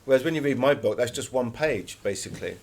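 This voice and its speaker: A British man with a mid-pitched voice talks as an author being interviewed. He spins anecdotes in a chatty, wry style, leaning on words for emphasis. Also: lively intonation, fast talker, vocal fry, a little mellow, slight background noise.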